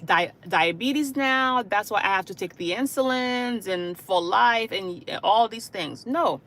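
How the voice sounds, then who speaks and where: A woman's voice: medium pitch, measured pace, a bit bright. A woman from Haiti who works as a hospice caregiver, talking earnestly to viewers on a live stream, often checking in with "okay?" and "you know". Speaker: hospice caregiver and channel host. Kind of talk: livestream talk to viewers.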